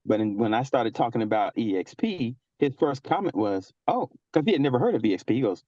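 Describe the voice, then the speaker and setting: A man's voice: mid-range voice, animated, brisk, mellow, dry recording. A man on a team video call telling a story, thoughtful and winding, with plenty of fillers. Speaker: real estate agent on a team call. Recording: dull, dry lo-fi audio.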